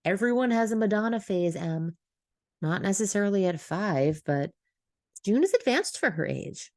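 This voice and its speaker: A woman voicing a concerned, wry best friend in an audio story, soft but assertive. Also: mid-range voice, big pitch swings, moderate pace, no echo, clean studio sound, pitched above the performer's own voice.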